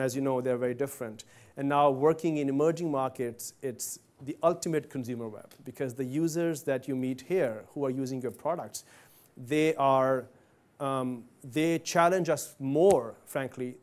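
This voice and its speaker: A man's voice: medium pitch, a little mellow. A man in his forties from Pakistan, giving a conversational talk to students as a company CEO and asking the audience questions directly. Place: university event hall.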